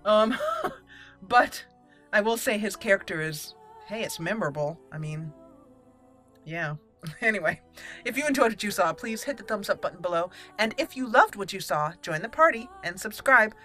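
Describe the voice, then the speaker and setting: A woman hosting a casual let's play, chatty and expressive as she talks to the game characters. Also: mid-range voice, normal speed. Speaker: gaming channel host. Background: game music.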